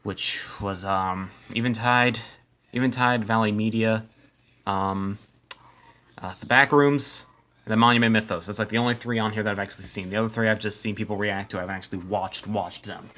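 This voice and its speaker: A man hosting a simulation with casual, rambling commentary, stumbling over words and dropping deadpan asides. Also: fairly low pitch, fairly quick. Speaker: host narrating a simulation.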